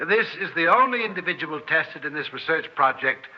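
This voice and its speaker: An actor playing a cocksure, coolly superior scientist in a 1960s radio drama, lecturing and unruffled. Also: male voice, a little high, lively intonation, brisk, close to an everyday voice. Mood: smug, lecturing. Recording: muffled old radio recording, faint noise.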